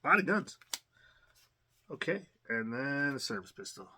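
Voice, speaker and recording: A man's voice: medium pitch, normal speed, slight background noise. A man hosting an unboxing video, talking casually with lots of fillers and restarts and a slight cough. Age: adult. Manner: relaxed, rambling, many fillers and restarts. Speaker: unboxing channel host.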